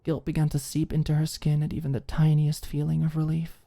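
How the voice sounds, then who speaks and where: A woman reads a story as an ASMR audiobook narrator. Her voice sits low and has a soft, mellow tone, with the measured delivery of scripted fiction. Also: completely flat delivery, vocal fry.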